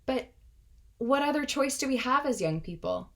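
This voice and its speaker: A woman in her twenties, a native Russian speaker, delivering a prepared speech in an earnest, measured, impassioned way. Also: sits high, animated, gravelly. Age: 20s.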